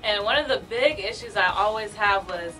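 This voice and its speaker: A woman's voice: medium pitch, moderate pace, rough recording. A young woman born in America, co-hosting a radio talk show and speaking candidly in a chatty way with lots of fillers. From United States. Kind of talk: casual talk radio discussion. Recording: music clearly behind the voice.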